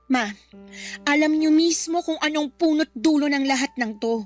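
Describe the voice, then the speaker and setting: Defiant, outspoken Filipino daughter played by a woman, radio drama, extremely high pitch, bitter and confrontational. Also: normal speed, soft background music, lightly acted. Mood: resentful. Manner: confrontational, sarcastic.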